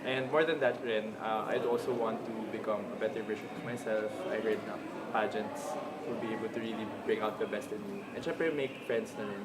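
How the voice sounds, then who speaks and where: A Filipino man in his twenties answers interview questions in a polite, measured way, in a mid-range voice. A clear murmur of people sits behind him. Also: lowish voice, fairly even intonation, a loud crowd, rough recording. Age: 20s. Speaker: pageant runner-up.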